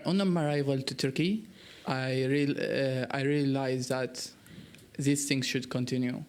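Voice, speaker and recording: A young man from Syria with a Syrian Arabic accent, speaking earnestly and searching for words as he puts a question in a dialogue. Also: medium pitch. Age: young adult. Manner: halting, searching for words in non-native English. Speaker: youth delegate asking a question. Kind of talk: earnest prepared remarks in a dialogue.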